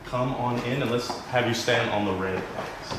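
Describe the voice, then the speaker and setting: A man's voice: medium pitch, moderate pace. A man hosting a dating show in an upbeat, amused way. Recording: echoey studio with background noise.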